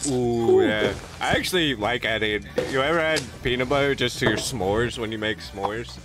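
A man's voice: medium pitch, moderate pace, over music. A man in his twenties bantering casually in game voice chat, slangy and swearing freely. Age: 20s. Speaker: gamer.

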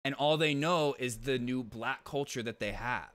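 A man's voice: mid-range voice, normal speed, fairly bright tone, dry recording. A man in his twenties giving casual reaction commentary on a stream, close to the microphone. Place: small bedroom-style room, indoors.

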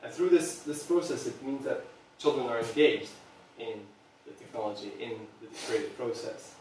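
A man's voice: mid-range voice, lively intonation, normal speed, darkish tone, heavy reverb, slight background noise. A man in his thirties from Serbia giving an earnest talk to a small audience, hesitating often with ums, recorded by a far camera in a meeting room. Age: 30s. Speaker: product designer giving a talk. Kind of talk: public presentation.

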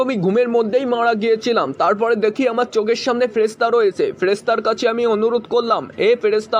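A man's voice: high-pitched, a little hiss or hum, natural voice. A man voicing a hapless cartoon husband and friend who spins explanatory tales in a comic skit, in dull lo-fi audio. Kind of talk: cartoon joke skit dialogue.

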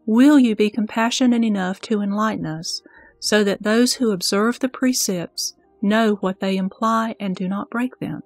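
A woman's voice: medium pitch, normal speed, no room sound, lightly acted. A reverent disciple played by a woman speaks in a scripture audio drama. The recitation is formal, careful and earnest, dry and close, with music behind it.

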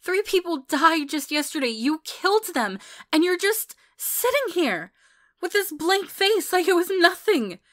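A woman's voice: very high-pitched, big pitch swings, measured pace, full character voice. A woman playing a bitter, protective mother in a dramatic audio roleplay, with a confrontational, intense manner. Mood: angry, accusing.

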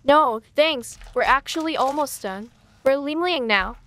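A woman's voice: sits high, expressive, measured pace, fairly bright tone. A woman playing an aloof, dismissive young girl gamer with a curt manner in an amateur storytime drama. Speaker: voice actor in an animated storytime drama. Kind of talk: scripted amateur roleplay dialogue.

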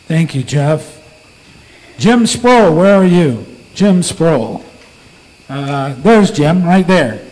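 A man's voice: a little high, lively intonation, very slow, darkish tone. A man, likely the pastor, calling someone up to the front in a loose, informal way. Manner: loose, calling out across the room. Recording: distant from the mic, long church reverb.

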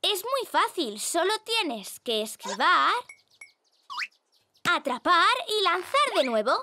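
A woman voicing a bossy, energetic little girl coach in a children's cartoon, commanding and encouraging. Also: very high voice, takes it slowly, noisy background, full character voice. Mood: instructive.

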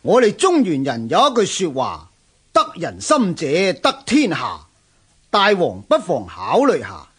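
Radio drama general: earnest, respectful, husky, very lively delivery, Hong Kong Cantonese accent. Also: male voice, on the high side, unhurried, heavily acted. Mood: earnest, persuasive. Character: deferential, earnest Chinese general advising his lord. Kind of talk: historical radio drama acting.

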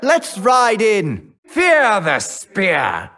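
A man's voice: sits high, huge pitch swings, very slow, sharp tone, heavily acted. A man voicing a bold, gallant elf jousting knight from a video game, cocky and eager, with theatrical, heroic delivery. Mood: charging, battle-ready. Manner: heroic, boastful, theatrical battle cries. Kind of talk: video game character voice lines.

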